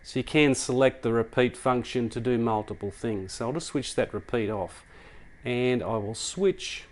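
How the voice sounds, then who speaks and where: Man casually demonstrating audio gear. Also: medium pitch. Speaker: product reviewer.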